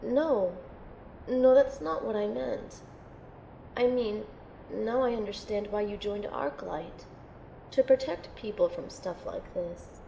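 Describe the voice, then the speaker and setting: A woman playing an earnest, unsure young female recruit in an audio drama, sounding sincere, gentle and tentative. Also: medium pitch, slow, gravelly, slight background noise, poor audio. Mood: hesitant. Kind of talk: audio drama acting and first-person narration.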